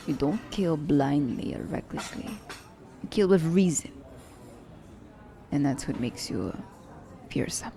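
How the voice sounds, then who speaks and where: Casting: actress as confident female assassin for ASMR romance, deep and unhurried, halting, teasing delivery. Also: warm tone. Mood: measured. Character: confident, self-declared selfish young woman assassin, teasing her captive. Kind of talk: ASMR romance roleplay acting.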